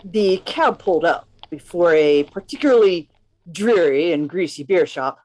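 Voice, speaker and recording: A man's voice: fairly high pitch, lively intonation, normal speed, darkish tone, lightly acted, low-quality recording. A man reading the part of a witty, chivalrous poet who narrates his own adventure in a radio play, in formal, theatrical language over a video call. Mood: dry narration.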